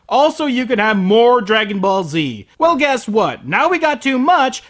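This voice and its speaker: Man, anime review narration, sarcastic, profane, animated pitch.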